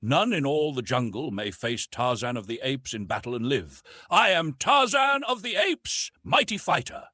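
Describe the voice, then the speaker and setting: A man's voice: mid-range voice, sing-song, moderate pace, few pauses, bright, forceful tone, slightly rough, dry recording, studio quality, exaggerated voice. A man voicing a mighty, proud young jungle man raised by apes, speaking in solemn, boastful declarations. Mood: proud, fierce.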